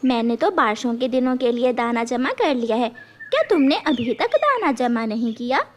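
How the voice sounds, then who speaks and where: Devoted mother bird for a cartoon fable, acted by a woman: high, tender, earnest, lively pitch. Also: moderate pace. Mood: concerned. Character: gentle, devoted, hardworking mother sparrow.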